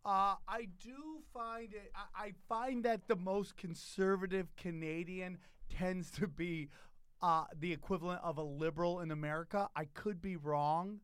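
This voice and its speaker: A comedian hosting a casual interview, rambling and joking loosely with some swearing. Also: male voice, sits high, fairly even intonation, measured pace, fairly bright tone, smooth, dry recording, studio quality. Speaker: podcast host, stand-up comedian.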